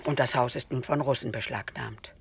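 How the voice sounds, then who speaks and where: A woman reading as an elderly mother keeping a wartime diary, calm and measured, in a documentary. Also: a bass voice, gravelly, very noisy. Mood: sober.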